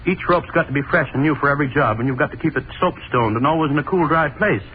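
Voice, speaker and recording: An actor playing a haunted, desperate middle-aged executioner in a 1930s radio play, brooding and tormented, heard through a tinny old recording. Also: male voice, fairly high pitch, quick pace, a little hiss or hum, close to an everyday voice. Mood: eager. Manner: tormented, brooding inner monologue. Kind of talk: 1930s radio drama acting.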